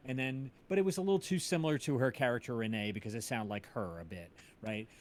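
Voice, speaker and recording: A man chatting casually in an interview as an audio drama creator, telling animated anecdotes and often checking in with tag questions. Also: medium pitch, fast talker. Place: indoors, on a group video call.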